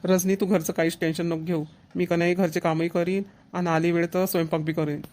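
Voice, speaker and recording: Cartoon casting: man playing devoted young Varhadi-accented husband, earnest, reassuring, quick delivery on a flat, even pitch. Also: close to an everyday voice. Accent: Varhadi Marathi, Vidarbha. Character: devoted, clingy young newlywed husband, eager to help. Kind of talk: cartoon family comedy dialogue.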